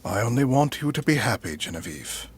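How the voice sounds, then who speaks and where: A courtly middle-aged prince with a husky voice at an ordinary male pitch. He is formal and a little pompous, devoted in love and ambitious underneath, phrasing his audio drama lines with measured care. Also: big pitch swings. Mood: adoring.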